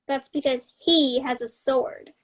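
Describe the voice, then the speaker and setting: Romantic young heroine played by a woman, high light voice, eager and dreamy, children's stage play. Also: fairly even intonation, slow. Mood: wistful. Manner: earnest, wistful. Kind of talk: staged reading of children's theater.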